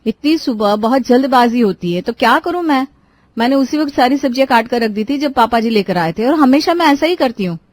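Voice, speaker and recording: Woman acting a exasperated young daughter-in-law, pitched up. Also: lively intonation, a little fast, no room sound, natural voice. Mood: defensive. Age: young adult. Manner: complaining, exasperated. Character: overworked young daughter-in-law, resentful, grumbling, defensive. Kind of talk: Hindi cartoon story dialogue.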